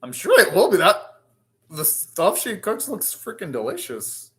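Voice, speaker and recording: A man's voice: high-pitched, wildly swooping pitch, unhurried, pushed and bright. A man hosting an anime reaction, commenting casually and thinking aloud in short, rambling remarks.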